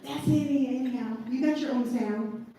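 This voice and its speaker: A woman with a deep Southern accent, presenting quickly and folksily at a company training event. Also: dark tone, slight background noise. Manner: upbeat, folksy, addresses audience as y'all. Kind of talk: hurried presentation talk to an audience. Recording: very echoey hall recorded from far off.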